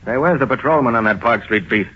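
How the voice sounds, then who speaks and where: An actor playing a blunt, suspicious police captain who commands and interrogates, in a vintage radio crime drama. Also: male voice, mid-range voice, expressive, quick pace, breathy edge. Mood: brisk, gruff. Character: gruff, suspicious police captain grilling his men. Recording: muffled old-time radio recording.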